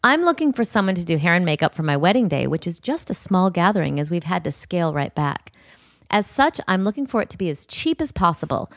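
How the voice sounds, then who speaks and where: A woman's voice: on the low side, sing-song, fairly quick, dry recording, studio quality, voice pushed down. A woman reading out messages as a chirpy, penny-pinching bride, in an amused dramatic reading. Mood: businesslike, pleading. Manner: reads emojis and spellings aloud, amused.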